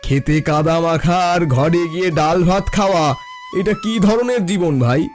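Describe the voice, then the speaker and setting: A man's voice: a little high, measured pace, faint music behind, natural voice. An actor playing a smug, idle rich neighbour in a cartoon folk tale, gloating and condescending as he drawls. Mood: smug, boastful.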